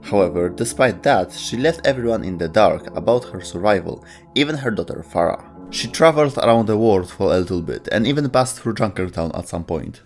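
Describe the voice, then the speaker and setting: Low-pitched man narrating over music. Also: expressive, fairly quick. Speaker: narrator of game lore video show. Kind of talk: scripted narration.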